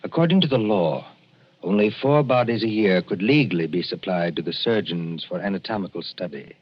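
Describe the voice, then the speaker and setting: A man's voice: deep voice, lively intonation, slightly rough. A man narrating a suspense radio show in an ominous, dramatic, scripted 1940s style. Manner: ominous, deliberate storytelling. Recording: muffled vintage radio recording with music.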